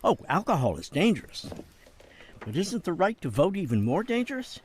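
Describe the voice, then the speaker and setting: An older American man, a jailed politician in a game drama. His pitch moves in lively swings. He speaks with wry, fatherly persuasion, coaxing like a practiced schemer. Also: normal speed, a little hiss or hum. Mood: sardonic. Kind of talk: dramatic game cutscene acting.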